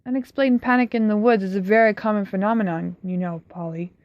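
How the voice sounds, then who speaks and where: A woman's voice: medium pitch, fairly even intonation, a little fast, dry recording, natural voice. Firm, genial uncle read out by a woman, dull dry sound. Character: genial but firm middle-aged uncle. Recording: slightly dull, dry recording.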